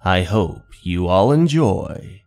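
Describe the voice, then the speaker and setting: Deep voiced guy narrating scary stories, slow and theatrical but really expressive. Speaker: narrator, channel host. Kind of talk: dramatic scripted horror story narration. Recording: music behind the voice.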